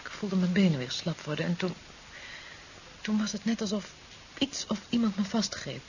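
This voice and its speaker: An actress playing a tender, weary young woman recovering from an ordeal in a 1970s radio drama, affectionate and halting. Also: mid-range voice, animated, a little mellow, lightly acted. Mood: weary, recounting. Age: young adult. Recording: dull, hissy 1970s radio tape.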